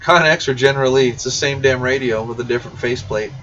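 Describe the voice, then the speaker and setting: A man's voice: mid-range voice, fast talker, sharp tone. A man hosting a casual livestream Q&A, talking bluntly and informally and addressing viewers directly. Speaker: CB radio shop livestream host. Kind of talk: casual livestream Q&A, reading viewer questions aloud. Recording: dull, distant mic, faint background noise.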